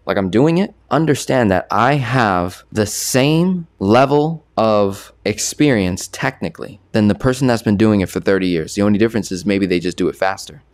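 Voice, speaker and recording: A young man giving casual, energetic talking-head commentary on money into a studio boom mic, repeating himself and gesturing a lot. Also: no echo. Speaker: personal finance creator. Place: small bright studio. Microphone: boom arm studio mic.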